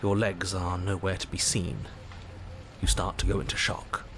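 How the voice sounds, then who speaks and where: A man playing the grave narrator of a horror game, telling events in measured, dramatic, ominous prose. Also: sits low, little pitch movement, gravelly, a bit bright, slight background noise. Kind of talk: dramatic scripted second-person narration.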